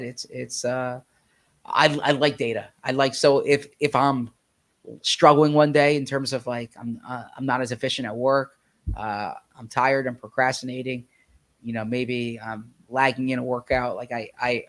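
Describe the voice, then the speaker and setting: A man co-hosting a sports podcast in casual chat, slightly nasal from a cold, talking haltingly with many restarts. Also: medium pitch, a bit bright.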